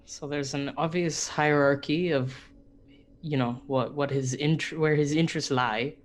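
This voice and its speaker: A man's voice: a little high, steady tone, unhurried. A man playing a tabletop roleplaying game, talking casually as he explores the scene. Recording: faint background noise.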